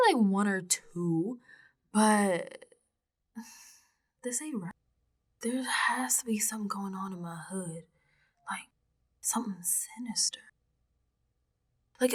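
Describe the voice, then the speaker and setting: A woman's voice: mid-range voice, husky. A woman playing a streetwise, unsettled young American woman in an audio drama monologue, confiding in colloquial, emphatic speech. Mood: uneasy, hushed, then haunted, grieving. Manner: colloquial, sassy, emphatic, dropping into whispers.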